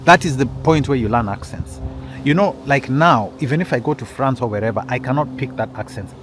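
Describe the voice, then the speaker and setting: A man spins a loose, personal story at an easy mid pitch. He circles back, restarts sentences and keeps turning to his listeners. There is a faint hiss of noise behind him. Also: a little fast. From Kenya. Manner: rambling, with frequent restarts and asides to listeners. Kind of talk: casual personal storytelling to an audience.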